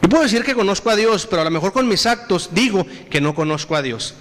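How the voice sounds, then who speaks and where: A man with a Mexican Spanish accent preaching a sermon. He addresses the congregation again and again and reads scripture slowly and with emphasis. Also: high voice, expressive, fairly bright tone. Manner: preaching with repeated appeals to the congregation, slow emphatic scripture reading. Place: church hall. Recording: reverberant hall.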